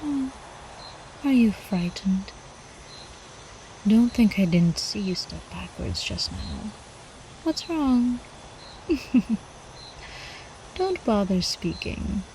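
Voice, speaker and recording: A woman playing a predatory older vampire countess in an ASMR roleplay, haughty, teasing and possessive, with breathy drawling speech that often drops into whispers. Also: mid-range voice, sing-song, very slow, pauses often, mellow, a little hiss or hum, exaggerated voice, poor audio. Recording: faint night insect ambience behind.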